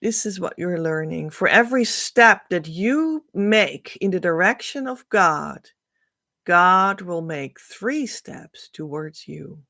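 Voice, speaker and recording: Dutch-native woman, middle-aged, spiritual coach reading cards real slow, lowish voice, close webcam. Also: animated. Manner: slow, musing, drifts between soft and louder emphasis. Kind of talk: oracle card reading to camera.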